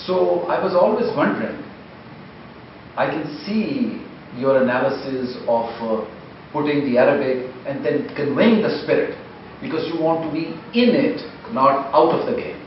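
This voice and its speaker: A man with a slightly high voice presses his argument with earnest insistence, cutting back in whenever he is interrupted. English is not his first language. He is recorded from far off in a very echoey room, with a dull, lo-fi sound. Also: animated, moderate pace, slight background noise. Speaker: discussion participant. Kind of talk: heated debate.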